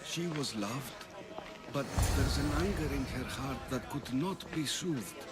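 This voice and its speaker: An actor playing a nervous, pious old temple priest in a video game, hesitant and evasive in manner. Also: male voice, mid-range voice, lively intonation, over music, lightly acted. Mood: uneasy, guarded. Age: older. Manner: hesitant, halting, secretive. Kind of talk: video game dramatic dialogue.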